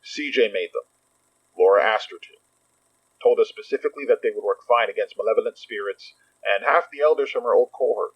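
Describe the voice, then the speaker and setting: A man acting a calm, terse, guarded investigator leading a team in a naturalistic audio drama. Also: fairly low pitch, a little fast, slightly rough, lo-fi sound. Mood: businesslike.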